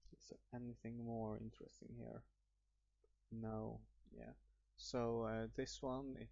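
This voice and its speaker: A young man with a Norwegian accent talks over his screen recording, drifting and restarting his sentences with plenty of fillers. His voice sits low, with a gravelly edge. The recording is dry and a bit muffled. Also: darkish tone, no echo. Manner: rambling, hesitant, many fillers and restarts. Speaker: YouTuber. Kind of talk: improvised screen-recording walkthrough. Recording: muffled dry home mic.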